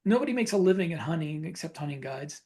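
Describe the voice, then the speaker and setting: A man's voice: on the high side, lively intonation, fast talker, a little mellow, slightly rough. Reflective man in casual conversation. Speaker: podcast co-host and writer. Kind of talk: casual chat.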